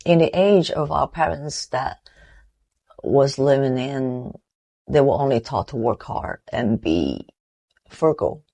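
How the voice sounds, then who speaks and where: A woman in her forties from Taiwan with a Taiwanese accent, giving an earnest, reflective motivational talk with halting restarts. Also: a bass voice, lively intonation, dark tone. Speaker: motivational vlogger.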